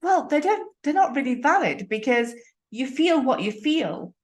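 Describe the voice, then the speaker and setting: A woman in her late fifties hosting a podcast, talking in an upbeat, emphatic and animated way with her guest. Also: high voice, moderate pace. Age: late 50s. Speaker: podcast host. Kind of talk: interview conversation.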